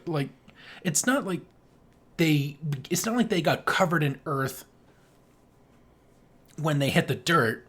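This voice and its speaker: Podcast co-host, mid-pitched and very animated, chatting casually and enthusiastically about film. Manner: enthusiastic, imitating sound effects.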